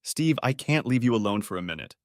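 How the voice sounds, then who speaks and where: A man narrator plays a possessive, bantering wife in an audio story. The delivery is arch and animated at a middle pitch. The recording is dry. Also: a little fast, dry recording, close to an everyday voice. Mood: teasing. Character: teasing, possessive wife meeting her husband's friend.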